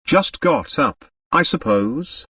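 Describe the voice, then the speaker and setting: A man voicing a nonchalant, wry gentleman in an audiobook, talking casually. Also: fairly high pitch, animated, slow, husky, dry recording, recorded from a distance, voice pushed up, full character voice. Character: nonchalant, wry gentleman in money and marriage trouble.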